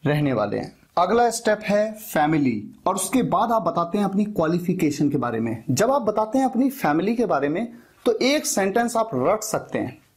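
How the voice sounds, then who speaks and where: Husky-voiced Indian man teaching spoken English. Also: high voice. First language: Hindi. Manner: deliberate, emphatic repetition of examples. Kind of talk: instructional lesson, explaining with example sentences.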